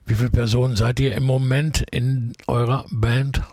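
A man's voice: mid-range voice, flat delivery, normal speed, a little mellow, no echo, studio quality. A man hosting a radio interview, asking chatty leading questions and checking facts with the guest.

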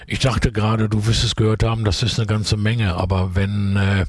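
A man's voice: fairly low pitch, little pitch movement, moderate pace, darkish tone, husky, no echo, studio quality. A man hosting a radio interview, asking leading questions with enthusiasm about the music. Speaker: radio host.

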